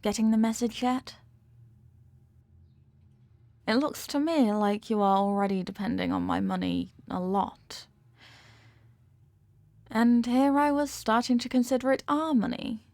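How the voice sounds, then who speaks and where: A woman's voice: a little high, normal speed, vocal fry, darkish tone, no room sound. A woman acting a possessive, manipulative rich young girlfriend in an intimate audio roleplay, talking down in a coaxing, teasing way with veiled threats. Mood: condescending, controlling.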